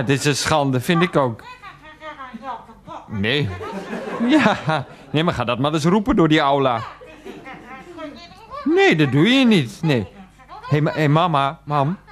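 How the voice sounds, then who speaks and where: Son on the phone to his mum, exasperated but placating, halting, voice jumps all over, radio play style. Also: mid-range voice. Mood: gossipy, defensive. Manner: halting, repetitive, protesting, placating. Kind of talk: 1990s Dutch radio play, one-sided phone call.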